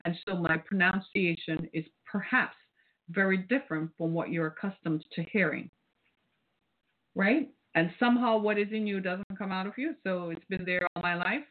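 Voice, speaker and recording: A woman's voice: fairly low pitch, normal speed. A woman from the British Caribbean with a Caribbean accent, hosting a solo podcast in a preachy, rhetorical way and often tagging her points with "right?". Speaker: podcast host. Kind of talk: casual solo podcast commentary. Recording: phone-like, narrow band.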